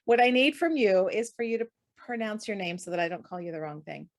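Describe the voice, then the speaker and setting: A woman's voice: mid-range voice, lively intonation. Woman host with a mid-range voice, friendly and chatty, casual interview. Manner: friendly and chatty, with quick agreeing replies.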